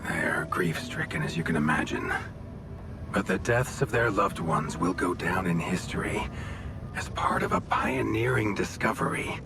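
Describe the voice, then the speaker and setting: An actor playing a smooth-talking, calculating mining executive in a video game, measured, erudite and condescending, with a show of sympathy. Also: male voice, fairly low pitch, animated. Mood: solemn, guarded. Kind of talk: cinematic video game acting. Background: prominent music.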